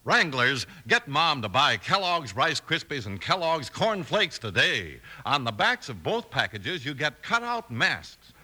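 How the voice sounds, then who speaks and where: A man's voice: a little high, very animated, measured pace, hardly any pauses, sharp tone. An American man announcing a 1950s radio show from a script, with emphatic, dramatic delivery. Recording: old radio broadcast over music. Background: music.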